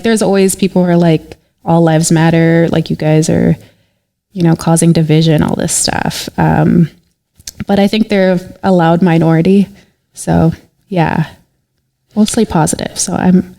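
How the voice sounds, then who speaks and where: A woman's voice: on the low side, steady tone, moderate pace, gravelly, dark tone. A young woman from Canada, an artist guest on a podcast, answering in a casual, hesitant way full of fillers.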